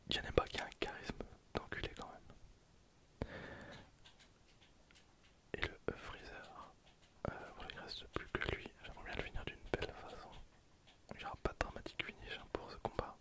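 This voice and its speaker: A person whispering casual, slangy ASMR commentary over a video game, in breathy, hesitant phrases that trail off. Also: normal speed, long pauses, pushed and bright. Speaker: host of an ASMR gameplay channel.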